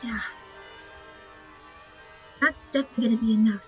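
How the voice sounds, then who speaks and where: A woman's voice: fairly high pitch, fairly even intonation, normal speed, darkish tone, soft background music. A woman giving casual commentary as she plays through a video game. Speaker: gamer presenting a playthrough. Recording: dull lo-fi mic.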